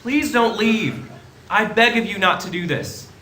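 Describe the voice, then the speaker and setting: An actor playing an earnest, harried young building and loan manager in a 1940s-style stage radio play, pleading and emphatic. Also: male voice, high voice, lively intonation, measured pace. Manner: pleading, emphatic, speech-making. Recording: roomy theatre stage, faint noise.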